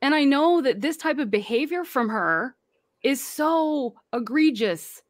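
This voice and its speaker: Livestream guest: a deep-voiced woman, passionate and direct, slightly high pitch, dry close sound. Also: expressive, a little slow, no room sound. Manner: impassioned, speaks out forcefully. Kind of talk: livestream panel discussion.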